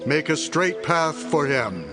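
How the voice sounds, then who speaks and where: An actor playing a solemn prophet who declaims scripture in an audio Bible drama. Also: male voice, on the high side, big pitch swings, slow, over music. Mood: proclaiming.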